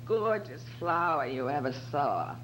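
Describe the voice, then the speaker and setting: A woman acting as a detective's excitable female assistant in a radio mystery. She is teasing and expressive, her pitch swinging widely over clearly noisy, humming sound. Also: unhurried. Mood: admiring, excited. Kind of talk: amateur recreation of old-time radio detective drama. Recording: noisy amateur recording with hum.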